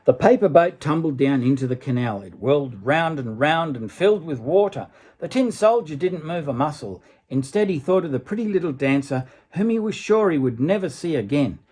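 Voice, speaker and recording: A man's voice: fairly high pitch, expressive, normal speed, darkish tone. An older man reading a children's story aloud expressively, dramatically, with asides to a young listener. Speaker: storybook reader.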